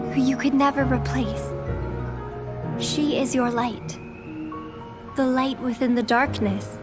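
A woman voicing a gentle, sad teenage girl in a video game, speaking softly and hesitantly. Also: high voice. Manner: soft, hesitant, wistful. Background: music.

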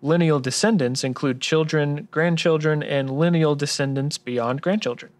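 A man's voice: on the high side, normal speed, lightly acted. A man playing an earnest, polite tax expert in a scripted noir radio drama, speaking precisely and courteously. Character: earnest by-the-book male tax expert, polite detective type.

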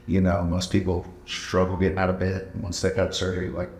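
Low-voiced thirties gym owner from Texas, halting casual answers, roomy gym sound. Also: male voice, monotone, darkish tone. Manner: plain-spoken, halting with many uh fillers. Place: large indoor gym. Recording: echoey gym room.

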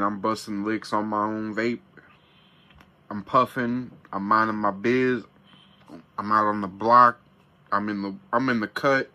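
A man's voice: fairly low pitch, sharp tone. A man in his thirties giving a casual, profane, hyped product review in slang, seated in a small camper van and talking into a camera close by. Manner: hyped, slangy, swears a lot.

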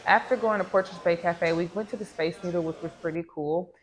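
A woman's voice: fairly low pitch, steady tone, brisk, a little hiss or hum, rough recording. Chatty woman vlogging from home. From American South. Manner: chatty, direct to camera. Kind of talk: casual travel vlog. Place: small home room with plants. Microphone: lapel.

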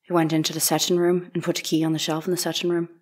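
A woman's voice: on the low side, flat delivery, rapid-fire, darkish tone, breathy edge. A woman playing a reserved, plain-spoken housemaid giving terse testimony in a dramatic courtroom reading. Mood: reserved, matter-of-fact. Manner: terse, matter-of-fact answers.

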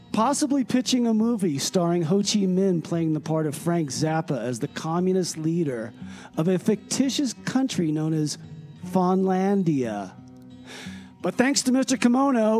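A man's voice: sits high, a little mellow. A man playing an upbeat, unflappable traveler in comedy radio theater, reporting disasters in a breezy, casual, singsong letter.

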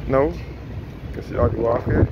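A man's voice: medium pitch, normal speed. Guy walking and pointing stuff out, keeps correcting himself, wind noise, kinda muffled. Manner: rambling, self-correcting guide. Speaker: walking tour vlogger. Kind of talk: casual walking-tour narration. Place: outdoors on a sunny harbour boardwalk. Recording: windy outdoor recording, muffled.

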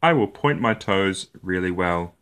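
A man reading a picture book aloud for young children in an expressive storytelling style, with deliberate, playful phrasing. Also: low voice.

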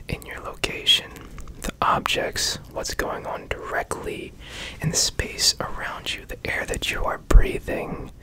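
Whispering man, slow and gentle. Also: low-quality recording. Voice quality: soft breathy whisper. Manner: gentle, slow, soothing instruction. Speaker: ASMR host guiding a meditation. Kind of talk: whispered ASMR guided meditation. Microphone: close studio microphone.

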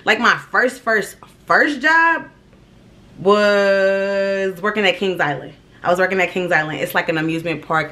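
A young woman in her twenties from Ohio, telling her story to viewers in a casual, animated vlog and calling them "y'all". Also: medium pitch. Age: 20s. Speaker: vlogger. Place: small indoor room.

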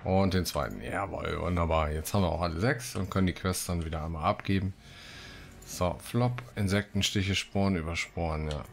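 A man's voice: low voice, fairly quick, vocal fry. A man commentating casually on his gameplay as the host of a gaming video. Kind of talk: casual let's play commentary, reading quests aloud. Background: game music.

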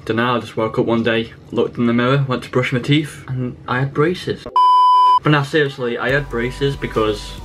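A man's voice: mid-range voice, steady tone, normal speed. A fifteen-year-old boy with an English accent, talking casually to camera as a vlogger answering questions. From England. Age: 15, teenager. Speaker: vlogger, channel host. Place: small bedroom, indoors.

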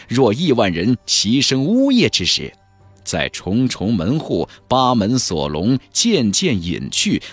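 Husky, highly animated male audiobook narrator. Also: no echo. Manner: theatrical, emphatic delivery. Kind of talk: dramatic scripted narration of a fantasy novel.

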